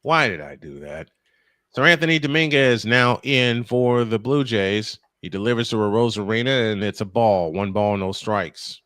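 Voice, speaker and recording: A man calling baseball play-by-play and telling anecdotes between pitches. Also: moderate pace, fairly bright tone, no echo, studio quality. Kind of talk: live sports commentary with anecdotes.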